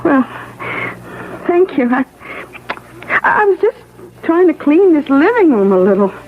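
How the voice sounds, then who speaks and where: An actress playing a plain-spoken, good-hearted young housewife in an old-time radio mystery, flustered and hesitant. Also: female voice, sits high, sing-song, unhurried. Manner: hesitant, stammering, exclamatory. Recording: narrowband, hissy old radio broadcast.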